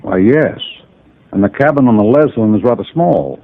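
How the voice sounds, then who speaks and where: Formal, measured businessman-inventor, low voice, thin old radio sound. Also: animated, phone-quality sound, slight background noise. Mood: composed. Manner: measured, composed, a little formal. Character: precise, self-assured middle-aged male radio inventor. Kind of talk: 1950s radio drama acting.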